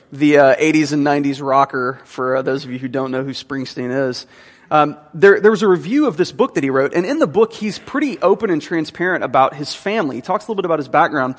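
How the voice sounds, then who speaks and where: A man in his thirties, a teaching pastor giving a conversational sermon with frequent fillers, in a church sanctuary. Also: a little high. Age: 30s. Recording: long hall reverb.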